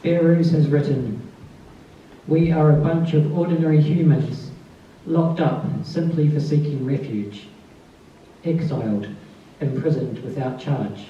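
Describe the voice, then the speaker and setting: Soft-spoken man in an echoey hall. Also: on the high side, a little slow, slight background noise. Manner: soft-spoken, calls his voice mousy. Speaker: author giving a tribute reading. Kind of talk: introduction and reading aloud. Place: festival event space. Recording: distant, dull, very echoey room.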